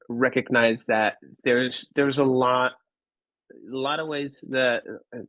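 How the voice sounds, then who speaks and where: A man, telling his personal story in an interview, candid and halting, often repeating words. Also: mid-range voice, fairly bright tone, no room sound, lo-fi sound. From Finland. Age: 30s. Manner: candid, casually profane. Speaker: podcast guest.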